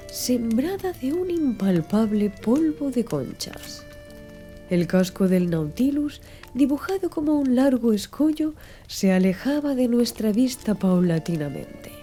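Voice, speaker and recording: Female audiobook narrator, very dark soft-edged tone, lively melodic delivery, music underneath. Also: on the high side. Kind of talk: scripted literary audiobook narration.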